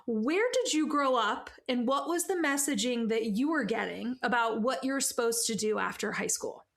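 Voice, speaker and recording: A woman hosting a podcast, reading an intro and announcements and then interviewing a guest in an upbeat, quick way. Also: on the high side, expressive, creaky. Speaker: podcast host.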